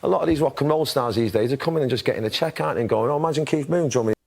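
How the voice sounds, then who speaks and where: A man's voice: mid-range voice, very fast, creaky, a little mellow. A man with a Mancunian accent chatting in a cheeky, rambling interview style. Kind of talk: interview chat.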